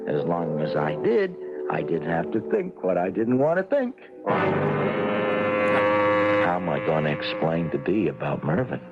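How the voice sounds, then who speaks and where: An actor playing a nervous, henpecked middle-aged husband in an old-time radio comedy, with a stammering, hesitant delivery. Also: male voice, sits low, huge pitch swings, measured pace, pauses often, exaggerated voice. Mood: rueful narrating. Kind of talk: old-time radio comedy drama acting, narrating and in scene. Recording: dull old radio recording, music behind.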